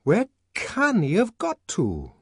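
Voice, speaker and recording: A man's voice: high voice, wildly swooping pitch, slow, gravelly, husky, no room sound, clean studio sound, pitched above the performer's own voice, exaggerated voice. A man reading an audiobook, voicing a puzzled young man listing where a friend is not. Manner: puzzled, summing up.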